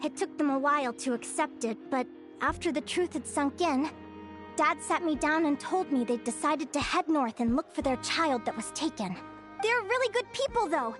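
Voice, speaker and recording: A woman's voice: high-pitched, lively intonation, normal speed, faint music behind. A woman playing an earnest, bookish young fae naturalist who tells her story in an articulate, thoughtful way, as video game dialogue. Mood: reflective.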